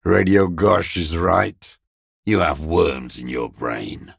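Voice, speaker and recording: An actor playing a bitter, dying old communist deserter in a video game, raspy and contemptuous, given to cursing and ideological scorn. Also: male voice, deep voice, animated, slow pace, no room sound, clean studio sound, heavily acted. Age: older. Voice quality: gravelly, raspy. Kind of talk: dramatic video game voice acting.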